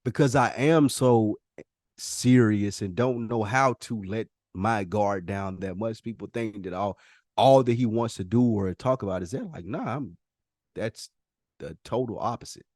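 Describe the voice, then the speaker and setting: A man's voice: fairly low pitch, a little slow, a little mellow, no room sound, studio quality. An American man co-hosting a podcast, talking casually in an earnest, emphatic, slangy way and often repeating himself for emphasis. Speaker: podcast co-host. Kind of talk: casual conversational podcast talk.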